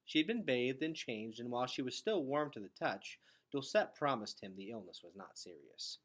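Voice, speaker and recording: A man reading a children's novel aloud in an expressive storytelling style. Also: mid-range voice, moderate pace. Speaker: read-aloud reader.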